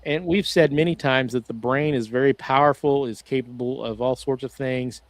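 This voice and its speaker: A man's voice: mid-range voice, no echo. A man in the middle of his range co-hosting a podcast from home over a video call. He rambles and restarts his sentences often. The sound is dry and close, with no room around it. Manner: rambling, halting, frequent "uh" restarts. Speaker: podcast co-host. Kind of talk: casual podcast discussion.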